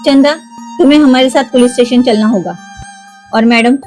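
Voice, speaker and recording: A woman voicing a brisk, authoritative female police officer in a toy cartoon story, firm and commanding. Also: on the high side, a little mellow, soft background music, natural voice. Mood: firm, official.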